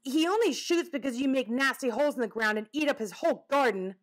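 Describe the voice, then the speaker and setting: A woman's voice: a little high, expressive, normal speed, voice pushed up. A woman voicing a blunt, skeptical and protective young boy in a children's story, talking argumentatively. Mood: accusing.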